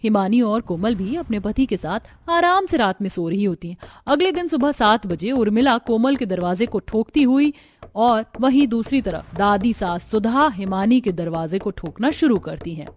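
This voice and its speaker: A woman's voice: medium pitch, lively intonation, moderate pace, a little mellow. A woman narrating a scripted cartoon family story.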